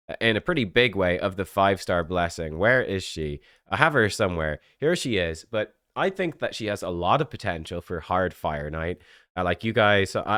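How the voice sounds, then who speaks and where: A man's voice: sits low, a bit bright, no room sound, studio quality. Congested man chatting about a game. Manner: chatty, rambling breakdown with frequent fillers. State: stuffy nose from a cold. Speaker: gaming YouTuber. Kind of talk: casual game commentary.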